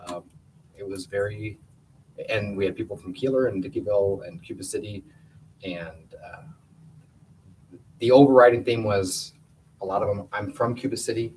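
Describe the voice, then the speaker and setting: A man's voice: lowish voice, normal speed, long pauses, darkish tone. A man giving a plainspoken, conversational report at a school board meeting in a meeting room. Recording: distant room mic with faint hiss.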